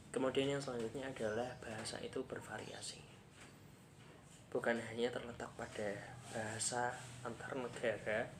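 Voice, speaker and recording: Flat-voiced man giving a lecture. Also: mid-range voice. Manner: measured, listing points one by one. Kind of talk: scripted lecture narration. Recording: audible background noise.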